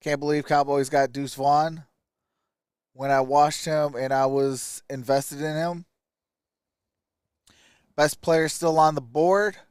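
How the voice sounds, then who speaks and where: A man's voice: on the high side, steady tone, slow pace, long pauses, darkish tone, clean tone, dry recording, studio quality. A man hosting a live stream, giving casual draft commentary and reading out stats in clipped phrases.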